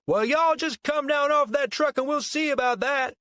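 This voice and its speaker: A man's voice: very high voice, moderate pace, pushed and bright, no room sound, voice pushed up. A man voicing an indignant young would-be Jesus, bellowing with a Southern US accent in an audiobook story.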